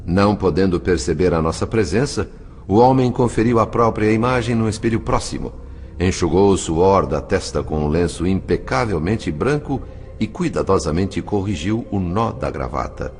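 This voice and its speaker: An actor playing a courteous, observant male spirit who narrates a dramatized audiobook in a formal, measured storytelling manner. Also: sits low, expressive, darkish tone. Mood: observant, composed.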